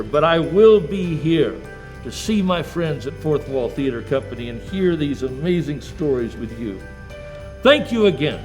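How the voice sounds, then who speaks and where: A man's voice: fairly high pitch, darkish tone. A man playing a jolly, long-winded old Santa Claus, hosting in a jovial, rambling manner. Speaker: show host. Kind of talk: in-character hosting.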